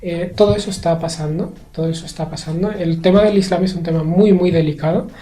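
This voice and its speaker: Soft-spoken man in an echoey room. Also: a little high, fairly even intonation. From Spain. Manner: measured, hesitant with many fillers. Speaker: interview guest. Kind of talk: thoughtful conversational interview.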